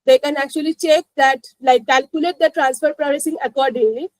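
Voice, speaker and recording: A woman's voice: sits high, steady tone, a little fast, a little mellow, no echo, rough recording. A woman with an Indian accent presenting a live explanatory finance lesson, peppering it with "you know" and "like" and checking that listeners follow. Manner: repeats 'you know, like' and checks understanding.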